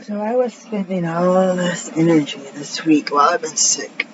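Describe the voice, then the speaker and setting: Raspy deep-voiced woman venting in a rambling vlog. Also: moderate pace. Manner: rambling, tangent-prone, venting. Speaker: vlogger. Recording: dull lo-fi sound with faint noise.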